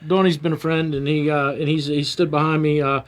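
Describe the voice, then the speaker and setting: Halting, stammering man. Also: a little high, level tone. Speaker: talk radio guest.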